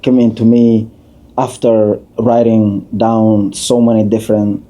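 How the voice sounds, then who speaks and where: A man, a community leader answering questions as a podcast guest in an unhurried, halting way. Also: fairly low pitch, little pitch movement, mellow. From Somalia, raised in Kenya. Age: 30s. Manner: unhurried, halting, with many uh fillers and restarts.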